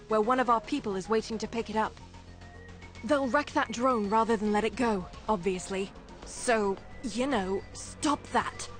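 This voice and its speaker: A tough female resistance leader, played by an actress, giving dry, commanding orders in a game mission. Her voice sits fairly high, with light music underneath. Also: lightly acted. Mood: brisk, dry. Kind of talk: video game mission briefing.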